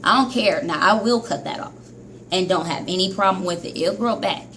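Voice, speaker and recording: A woman talks straight to her viewers in a loose, chatty hair-care vlog, often scolding herself. Her voice sits at an everyday middle pitch but keeps jumping high and dropping low. A faint hiss of noise sits under the recording. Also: a little fast, a bit bright. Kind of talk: casual vlog monologue to viewers.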